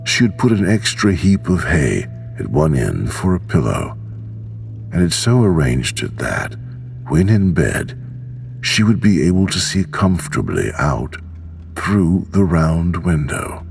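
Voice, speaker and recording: A man reading a bedtime story as narrator, slow, soothing and expressive, with soft music underneath. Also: low-pitched, gravelly.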